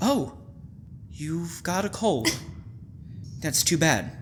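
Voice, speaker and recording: An actor playing a deranged, obsessive hotel keeper in a radio thriller, halting and softly insinuating with mock concern. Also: male voice, a little high, lively intonation, slight background noise. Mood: falsely solicitous. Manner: halting, softly insinuating, wide emotional swings. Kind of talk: old-time radio thriller acting.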